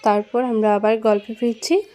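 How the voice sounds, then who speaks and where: Mellow, fairly high-voiced woman narrator. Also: fairly even intonation, normal speed. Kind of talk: storytelling narration for a children's cartoon.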